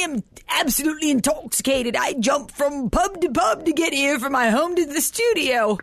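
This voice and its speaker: A woman's voice: high-pitched, gravelly, no echo, exaggerated voice. A woman playing a drunk, rambling astrologer who is crude and self-mocking, talking in slurred, giggly bursts for a comedy radio skit. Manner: slurring, giggly, swooping loud outbursts. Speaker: comic horoscope contributor.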